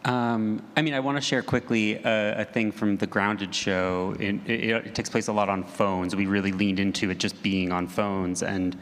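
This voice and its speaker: A man's voice: fairly low pitch, flat delivery, moderate pace, hardly any pauses, a bit bright. A man speaking as a panelist, telling things informally and without a script. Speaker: panelist, audio drama creator. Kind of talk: unscripted anecdotal panel talk. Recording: reverberant hall, background noise.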